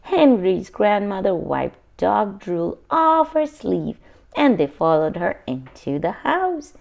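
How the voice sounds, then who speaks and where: A woman's voice: mid-range voice, very animated, takes it slowly, gravelly, darkish tone, dull audio. A woman reading a children's picture book aloud expressively, adding simple asides for young listeners.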